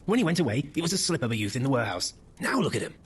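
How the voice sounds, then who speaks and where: Man acting curious old British father, chatty, very fast, swooping pitch, horror audiobook, faint ambience. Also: husky. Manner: chatty, lively, inquisitive. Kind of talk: dramatic audiobook horror reading.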